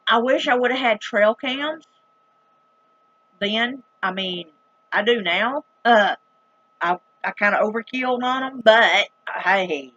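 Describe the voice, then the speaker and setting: A woman's voice: fairly high pitch, takes it slowly, bright, forceful tone, lo-fi sound. A middle-aged woman with a Southern US accent telling a story to her viewers in a casual, dramatic, emphatic and plain-spoken folksy way. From rural United States. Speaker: vlogger.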